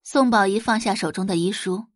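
A woman narrating a scripted audio drama in an expressive storytelling manner. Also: mid-range voice, fairly quick, no room sound, clean studio sound.

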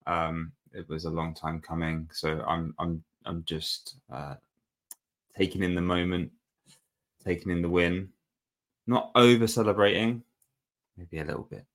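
A man's voice: sits low, fairly even intonation, measured pace, pauses often. A man co-hosting a football podcast, chatting casually and rambling with fillers and restarts. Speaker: podcast co-host.

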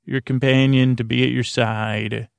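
A man with a creaky voice talks gently and soothingly as a sleep podcast host, meandering, hesitating and repeating half-finished words. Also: medium pitch, flat delivery, a little slow, no echo. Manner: meandering, hesitant, often repeats and trails off mid-word; soothing, lulling. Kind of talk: rambling bedtime monologue, talking gently to the listener.

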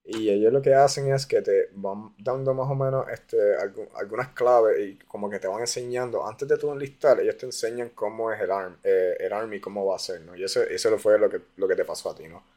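A man's voice: lowish voice, brisk, hardly any pauses, mellow. A man from hosting an interview, explaining points for listeners and laughing easily. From Puerto Rico. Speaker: podcast host. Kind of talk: casual interview.

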